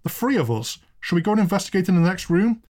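Guy as a nervous gentleman in an audiobook, earnest, eager, bit tense. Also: high voice, sing-song, fast talker, voice pushed up.

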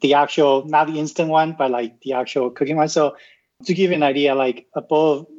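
A man from Taiwan, a podcast guest, explaining things in a casual, unhurried way, with frequent restarts and "right?" tags. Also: on the high side, fairly even intonation, darkish tone. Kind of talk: casual interview talk. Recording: muffled lo-fi audio.

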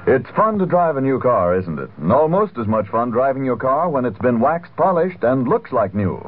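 Animated male vintage radio pitchman, tinny. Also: moderate pace, husky. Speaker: radio commercial announcer. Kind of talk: 1940s radio advertising read. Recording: thin, narrow old radio sound.

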